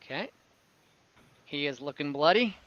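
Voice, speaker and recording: A man's voice: a little high, animated, unhurried, a bit bright, no room sound, dull audio. A man running an online tabletop game as game master, informal and encouraging as he explains things.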